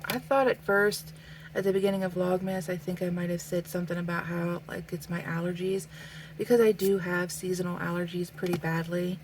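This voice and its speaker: A woman's voice: lowish voice, level tone, a little hiss or hum, rough recording. A young adult woman vlogging as she draws, talking casually and thoughtfully with lots of fillers, a little sniffly. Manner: slow, thoughtful, with many fillers. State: sniffly with a stuffy nose. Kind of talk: casual talk while drawing.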